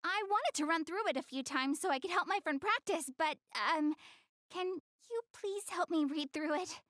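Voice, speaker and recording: A woman's voice: extremely high pitch, moderate pace, a bit bright, dry recording, clean studio sound. A woman voicing a shy, prideful young goddess girl in an English-dubbed anime game, flustered and earnest but a little haughty. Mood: nervous, insistent.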